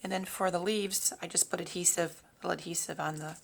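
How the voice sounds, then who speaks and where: A woman hosting a casual craft tutorial in a raspy, hoarse voice, talking informally and a little haltingly as she works. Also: fairly low pitch, steady tone, slight background noise. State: hoarse, throat-clearing from long talking. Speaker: host of a papercraft tutorial. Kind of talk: casual craft demonstration, talking while working.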